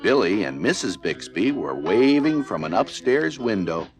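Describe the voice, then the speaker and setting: Male children's storybook narrator, fairly low voice, highly animated intonation, music clearly behind. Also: moderate pace. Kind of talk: scripted children's read-along narration.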